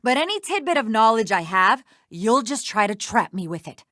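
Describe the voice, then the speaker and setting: An actress playing a haughty, defensive lawyer villain in a video game, condescending and sarcastic. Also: female voice, on the high side, big pitch swings, moderate pace, pushed and bright, no echo, clean studio sound. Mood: defensive, sharp. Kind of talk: scripted video game voice acting.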